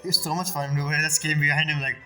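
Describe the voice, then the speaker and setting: A woman's voice: low voice, little pitch movement, quick pace, bright, forceful tone, soft background music, poor audio. A woman gaming streamer giving casual live commentary, slangy, exclamatory and mocking. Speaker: gaming streamer reacting to story.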